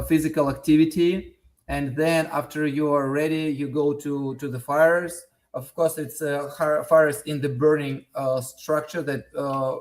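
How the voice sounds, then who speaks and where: A man in his thirties, a native Russian speaker with a Russian accent, answering interview questions in a halting way with many fillers and restarts. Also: a little high. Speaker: interview guest.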